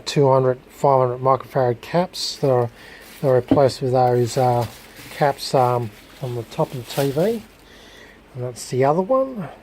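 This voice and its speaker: Halting man in a noisy casual recording. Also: medium pitch, mellow. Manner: halting, searching for words. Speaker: hobbyist electronics restorer presenting a video. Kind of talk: casual unscripted demonstration.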